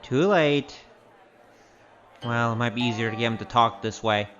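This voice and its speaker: A man's voice: medium pitch, moderate pace, fairly bright tone, faint music behind, close to an everyday voice. A man playing a wry, cocky wandering gambler in an audio drama, sardonic and teasing. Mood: musing. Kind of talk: audio drama acting with spoken self-narration. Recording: dull, slightly noisy audio.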